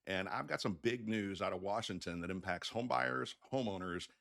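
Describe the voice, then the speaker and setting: A man's voice: lowish voice, normal speed, vocal fry, fairly bright tone. A man presenting an explainer to camera in a casual, conversational way with folksy phrasing, like a loan officer updating clients. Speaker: mortgage loan officer hosting an update video.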